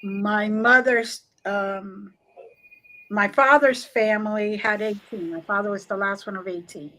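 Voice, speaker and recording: A woman's voice: medium pitch, a little slow, rough recording. A woman in her sixties from New Jersey with a slight Cuban-tinged accent, telling stories as a guest in a casual conversation and acting out the voices she quotes. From Newark, New Jersey. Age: 60s. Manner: animated, acts out quoted voices. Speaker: guest spiritual practitioner.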